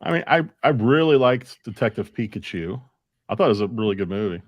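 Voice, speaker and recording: A man's voice: fairly low pitch, expressive, a little fast, no echo. An American man co-hosting a podcast, chatting casually with a group. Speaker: podcast co-host.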